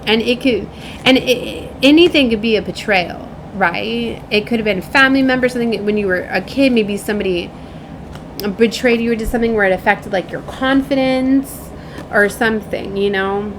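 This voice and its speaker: A woman's voice: on the high side, normal speed, background noise. A woman giving a casual spiritual card reading to viewers, rambling informally and often checking in with the listener. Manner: informal, rambling, checks in with "right?" and "you know". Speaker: tarot and oracle card reader.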